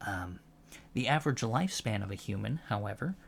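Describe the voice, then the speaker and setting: A man playing a gentle, earnest alien professor in a soft-spoken ASMR lecture roleplay, with a halting, thoughtful delivery. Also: lowish voice, expressive, vocal fry, a little hiss or hum. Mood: earnest, calm. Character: gentle, earnest male alien college professor teaching about humans.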